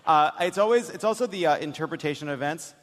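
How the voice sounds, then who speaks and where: A man hosting a live political comedy talk show, speaking emphatically with halting, restarted phrases. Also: on the high side, fairly bright tone. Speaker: host. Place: indoor stage before a live audience. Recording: echoey hall, live stage.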